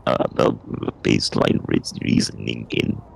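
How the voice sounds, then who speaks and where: A man voicing a menacing machine entity for a tabletop game, with a broken robotic rasp and halting, repeated words. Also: lightly acted. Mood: analytical. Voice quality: robotic, broken, rasping delivery. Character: Hunter, a glitching, obsessive, menacing machine entity.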